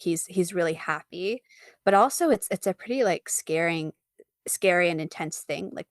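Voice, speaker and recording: A woman speaking as an interview guest, an artist chatting casually about her own work in an animated, informal, giggly way. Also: fairly low pitch, no echo, clean studio sound.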